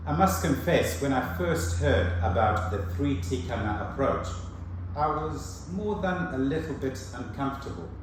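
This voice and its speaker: A man's voice: medium pitch, big pitch swings, slight background noise. Shona-speaking man preaching in a church. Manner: measured, earnest preaching with slow emphatic phrases. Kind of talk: sermon. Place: church hall. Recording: echoey church from far off.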